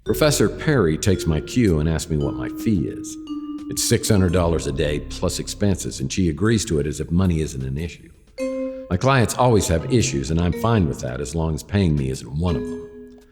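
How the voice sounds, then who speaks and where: An actor playing a wry, world-weary private detective in a hardboiled radio drama, narrating with deadpan, self-deprecating wit. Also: male voice, extremely low pitch, moderate pace, big-room sound, music in the background. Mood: sardonic.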